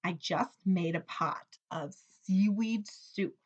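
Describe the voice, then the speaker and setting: A woman's voice: lowish voice, takes it slowly, dull audio. A woman voicing a friendly, eager turtle who hosts lunch and calls out invitations in a picture book read-aloud. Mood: friendly, obliging. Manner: hollers invitations brightly. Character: eager, hospitable turtle host, friendly and fussing.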